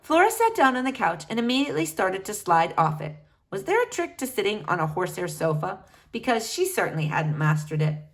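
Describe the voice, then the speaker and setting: A woman reading a children's book aloud with expressive storytelling. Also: medium pitch, a little fast. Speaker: reader.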